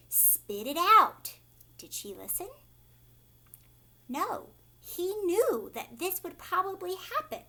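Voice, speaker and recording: A woman's voice: high voice, a bit bright. A woman reading a children's story aloud like a teacher, with theatrical, emphatic phrasing aimed at young listeners.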